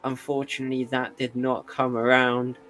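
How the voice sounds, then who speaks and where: A man's voice: mid-range voice, completely flat delivery, moderate pace, a bit bright. A tired man with a sore throat doing live esports race commentary, dry and wry between calls. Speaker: sim racing commentator.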